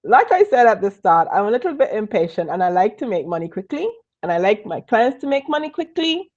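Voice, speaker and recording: A woman from Trinidad with a Trinidadian accent mixed with British tones, giving a lively live online business training and repeating key points for emphasis. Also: medium pitch, brisk, dull audio. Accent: Trinidadian, mixed with British and Northern Irish. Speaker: business coach.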